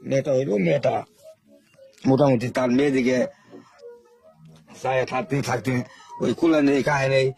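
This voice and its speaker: An older man from Bangladesh tells his own life story in a loose, rambling way, circling back and repeating himself as he goes. His voice sits at an ordinary middle pitch. Soft music plays faintly underneath. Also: steady tone, vocal fry. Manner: rambling, repetitive, halting storytelling. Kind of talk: informal spoken life story.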